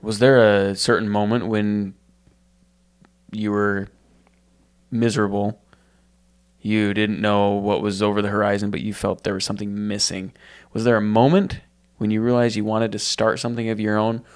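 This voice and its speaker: A male podcast host asking slow, searching questions in an interview. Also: on the low side, flat delivery, clear, resonant tone.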